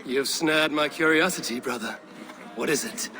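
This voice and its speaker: Game Viking warrior male actor: young, loyal, wry, plain-spoken, voice slightly high for a man. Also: moderate pace, a crowd in the background, natural voice. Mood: wry, teasing. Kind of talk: video game cutscene acting.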